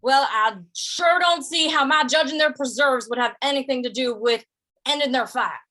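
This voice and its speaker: A woman's voice: high-pitched, normal speed, bright, forceful tone. A woman acting a plain-spoken, stubborn but easygoing cowpoke in a 1950s-style Western radio drama, with folksy phrasing. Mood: reluctant.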